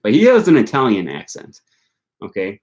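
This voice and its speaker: Young American man with a northern US accent and a fairly low voice. Casual, unscripted talk full of ums and restarts. He keeps correcting himself mid-sentence. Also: huge pitch swings, moderate pace, a little mellow. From United States. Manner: rambling, self-correcting, many fillers. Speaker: language learning channel host. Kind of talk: casual answer to viewer questions.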